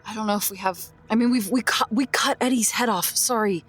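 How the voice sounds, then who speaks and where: A woman's voice: a little high, brisk, fairly bright tone, husky. A woman talking casually as a player in a tabletop roleplaying game.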